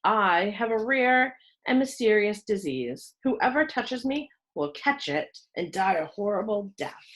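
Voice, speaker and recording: A woman reading aloud plays a cornered boy in a children's storybook. He is polite yet firm and quick-witted, speaking with deliberate control and very varied pitch. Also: expressive, natural voice. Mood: firm, threatening.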